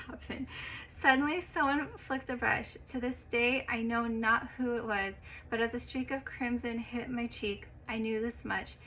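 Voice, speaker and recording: Expressive woman telling a personal story. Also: fairly high pitch, a little hiss or hum, low-quality recording. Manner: expressive, growing louder at exciting moments.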